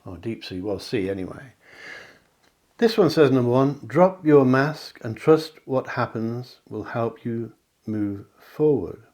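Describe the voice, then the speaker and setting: Middle-aged male psychic, chatty card-reading host with a slightly hoarse, mid-range voice. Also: medium pitch, expressive, measured pace, a little mellow. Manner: chatty, reassuring, with asides and self-promotion. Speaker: psychic reader. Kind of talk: tarot card reading to camera.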